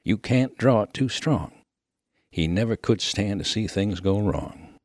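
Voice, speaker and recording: A man voicing a rough, good-natured Old West miner with a rustic American accent and a slangy, emphatic way of talking in a humorous story. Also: lowish voice, unhurried, darkish tone, no room sound, clean studio sound, lightly acted. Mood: boastful, eager. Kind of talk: dramatic reading of a humorous story.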